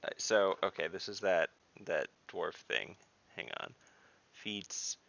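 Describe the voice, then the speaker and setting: Male tabletop player, deep with a sharp gravelly edge, casual table talk over slightly muffled call audio. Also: slow pace. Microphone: online voice call.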